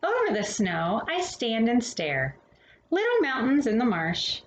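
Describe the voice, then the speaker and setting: A woman hosting a storytime, reading a children's picture book expressively with dramatic pauses and casual asides. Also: a little high. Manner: animated, dramatic pauses between phrases. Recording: roomy echo with faint background noise.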